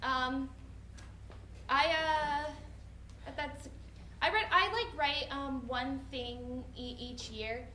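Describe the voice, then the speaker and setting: A young woman writer answering audience questions off the cuff, often halting and restarting her thoughts. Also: high voice, sharp tone. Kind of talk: unscripted question-and-answer talk after a reading. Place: indoor room at a college event. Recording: roomy echo, faint background noise.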